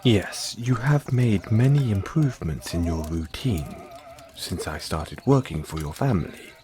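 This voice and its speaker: A man playing a polite, doting and gently teasing butler in an audio roleplay, speaking in a formal, measured way. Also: low-pitched, expressive, soft background music. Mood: fond, reminiscing.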